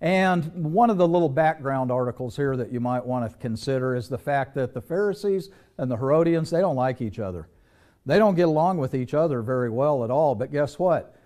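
A man's voice: mid-range voice, animated, a little fast, mellow. A middle-aged man preaching a sermon in a small church, moving about the stage and posing question after question to the congregation. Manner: conversational preaching, walks the stage with rhetorical questions.

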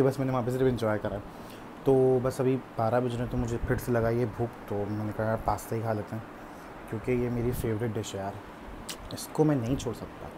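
A young man chatting casually to a phone camera held at arm's length, in an excited vlog style. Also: mid-range voice, noisy background. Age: young adult. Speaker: vlogger. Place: indoors at home at night, dim room. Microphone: phone held at arm's length, earphones.

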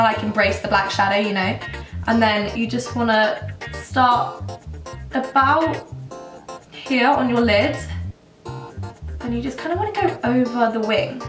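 A young woman in her twenties, losing her voice and croaky, chatting casually and self-mockingly through a makeup tutorial. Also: on the high side, roomy sound, recorded from a distance. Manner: chatty, self-mocking, rambling with many ums. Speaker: beauty vlogger. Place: indoors, plain grey backdrop.

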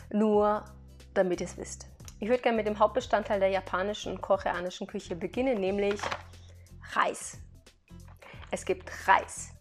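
A woman talking casually to her viewers in a product haul vlog, chatty, laughing now and then between remarks as she explains each item. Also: medium pitch. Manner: explains items one by one to viewers. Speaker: vlogger.

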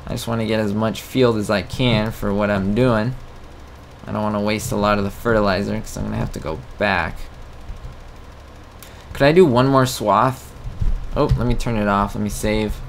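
A man's voice: on the low side, steady tone, normal speed, creaky, pauses often, slight background noise. A man giving casual, self-mocking commentary while playing a farming game, chatting to his viewers. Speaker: gameplay commentator. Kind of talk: casual let's-play commentary.

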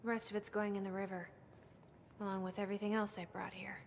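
A woman's voice: mid-range voice, flat delivery, fast, in a large hall, a little hiss or hum, close to an everyday voice. A woman playing a weary, guarded resistance fighter in a video game, grave and restrained. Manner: grave, restrained, weighted delivery. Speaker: video game character actor. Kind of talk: cinematic video game dramatic acting.

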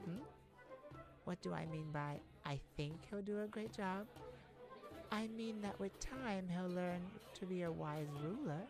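A woman's voice: lowish voice, vocal fry. A woman playing a weary, proud and guarded young half-blood princess in a scripted roleplay audio drama, speaking in formal, wistful phrases. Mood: proud, defensive. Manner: formal, measured, wistful storybook speech.

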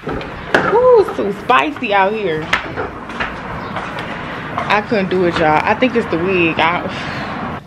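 A woman's voice: mid-range voice, very animated, takes it slowly, long pauses, reverberant, noisy background. A young woman chatting candidly to her viewers and her dog in a casual vlog. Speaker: vlogger. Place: apartment rooms and a parked car.

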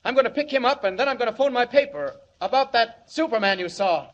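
A man's voice: extremely high pitch, animated, fast talker, fairly bright tone. A man acting a mild, eager newspaper reporter in a 1940s radio serial. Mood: excited. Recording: dull old 1940s radio recording.

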